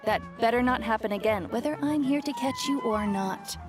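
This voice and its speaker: An actress playing a caring but strict auntie in an anime game, instructive and gently bossy. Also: female voice, fairly high pitch, normal speed, over music, lightly acted. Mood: stern teasing. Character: caring, strict auntie who dotes and scolds.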